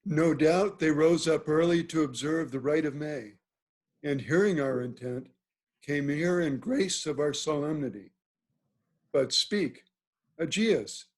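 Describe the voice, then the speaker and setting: A man reads the part of a noble duke in a Shakespeare play. The delivery is formal and declamatory, with unhurried pacing and a male voice a little higher than usual. He sounds used to giving orders. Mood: stately. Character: noble duke, commanding and at ease, ordering his hunt. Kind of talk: Shakespeare table read, measured verse delivery. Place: video call.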